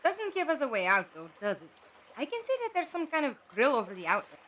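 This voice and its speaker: A woman's voice: high-pitched, wildly swooping pitch, fast talker, a bit bright, slight background noise, exaggerated voice. A woman acting in an audio drama as a blunt, slightly sardonic companion who asks direct questions. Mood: questioning, wry.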